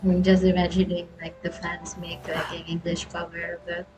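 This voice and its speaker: A woman game streamer giving casual reaction commentary, halting and trailing off mid-thought. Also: fairly low pitch, completely flat delivery. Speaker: gaming streamer reacting to a story quest. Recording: game music faintly behind.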